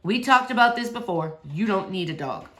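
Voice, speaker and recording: A woman voicing a distracted, kindly preacher father in a storybook, terse, absent-minded and gently firm. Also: mid-range voice, lively intonation, normal speed. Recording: roomy, echoey indoor sound.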